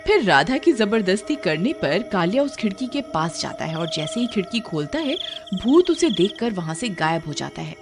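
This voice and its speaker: A woman's voice: mid-range voice, sing-song, normal speed, hardly any pauses. A woman narrating a scripted cartoon horror tale in a dramatic storytelling manner. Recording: loud music under the voice. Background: loud background music.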